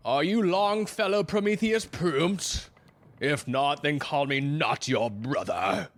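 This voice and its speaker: Actor playing a pompous, menacing, slow-talking first mate. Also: mid-range voice, lightly acted. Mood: suspicious, hostile. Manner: pompous, antiquated, intimidating speech. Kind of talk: theatrical audio drama acting.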